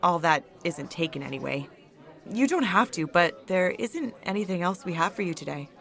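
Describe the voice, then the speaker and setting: A woman's voice: fairly low pitch, expressive, moderate pace, fairly bright tone, a faint crowd. A woman playing a friendly, chatty hospital volunteer coordinator in an audio drama, with a casual, familiar manner. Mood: matter-of-fact. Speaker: supporting actor in an audio drama.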